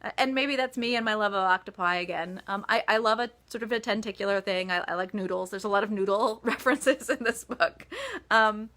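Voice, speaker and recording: A woman's voice: medium pitch, brisk, fairly bright tone. A woman author answering reader questions in a casual, chatty livestream style with emphatic asides.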